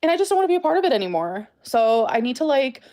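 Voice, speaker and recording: A woman's voice: sits high, sing-song, rapid-fire, mellow. A woman speaking casually to her followers in a personal update, emphatic and repeating herself for stress. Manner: emphatic, repetitive. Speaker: YouTuber.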